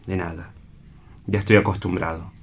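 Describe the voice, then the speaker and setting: A man's voice: low voice, little pitch movement, moderate pace. A man acting in a radio play as a bitter, anguished brother, speaking with impassioned reproach. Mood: bitter, resentful. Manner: impassioned, reproachful, self-pitying.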